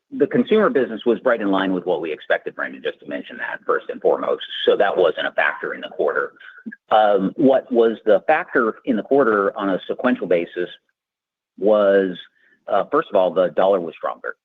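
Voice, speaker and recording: Very low, husky man, phone line. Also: animated, moderate pace, dry recording. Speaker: company finance executive answering analysts. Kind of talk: earnings call answers, unscripted explanation. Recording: narrow tinny conference phone line.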